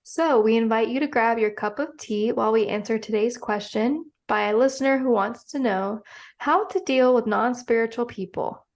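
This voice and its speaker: A woman co-hosting a spiritual podcast, friendly and quick to laugh. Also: on the high side, gravelly. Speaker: podcast co-host, healer and coach. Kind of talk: casual podcast chat.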